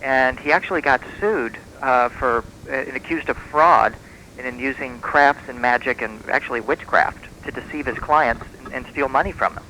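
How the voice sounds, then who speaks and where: A man, a guest author in a radio interview, explaining things in an earnest, measured and emphatic way, taped from shortwave radio. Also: medium pitch, bright, forceful tone, a little hiss or hum, rough recording. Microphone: radio broadcast.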